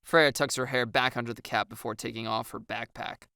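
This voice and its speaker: A woman reading scripted first-person story narration in an expressive way. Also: a bass voice, a little fast, gravelly, smooth, no room sound, clean studio sound. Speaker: audiobook narrator.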